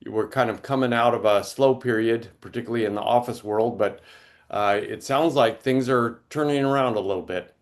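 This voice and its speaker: A man's voice: mid-range voice, normal speed. A man hosting an interview, asking questions in an easygoing, conversational way. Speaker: podcast host. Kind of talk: casual interview talk.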